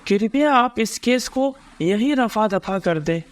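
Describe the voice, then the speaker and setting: A man's voice: high-pitched, lively intonation, takes it slowly. A man playing a self-protective, dismissive male school principal in a cartoon story. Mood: placating.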